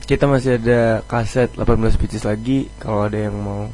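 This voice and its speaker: A man's voice: on the low side, steady tone, fairly quick, darkish tone, slight background noise. A man speaking casually as a band member in a radio interview.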